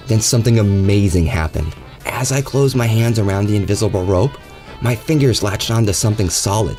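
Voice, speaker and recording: A man narrating a first-person horror audio drama, his voice climbing higher and tighter as the dread builds. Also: darkish tone.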